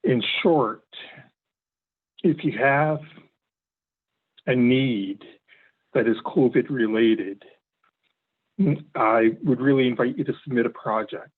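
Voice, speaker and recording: A man's voice: medium pitch, slow pace, pauses often. A Canadian man who is losing his voice, presenting on a government webinar and inviting listeners to apply. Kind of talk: webinar explanation. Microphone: video call. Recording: tinny phone-like line.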